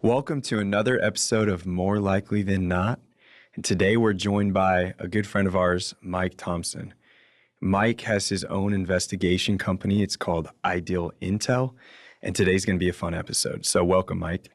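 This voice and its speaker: A man's voice: deep voice, steady tone, normal speed, no room sound, studio quality. A man hosting a legal podcast, introducing a guest and laying out the episode in a friendly, explanatory way. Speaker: podcast host, attorney. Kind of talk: podcast interview.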